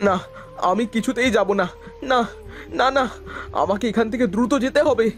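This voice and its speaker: A man's voice: extremely high pitch, moderate pace, voice pushed up. A man voicing a frightened traveler in a horror cartoon, panicked and pleading, repeating himself. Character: terrified male traveler pleading for his life.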